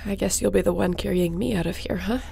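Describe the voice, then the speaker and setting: A woman's voice: mid-range voice, fast, gravelly, mellow, a little hiss or hum. A woman playing a tough, loyal space captain in a sci-fi audio roleplay, with an earnest, resolute manner. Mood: weary, tender.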